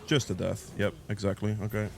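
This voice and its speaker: A man's voice: lowish voice, little pitch movement, brisk, gravelly, darkish tone, slight background noise. A man giving casual live commentary on a video game as he plays, thinking aloud and reacting as he goes, sniffly with a cold. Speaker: gameplay guide creator and player.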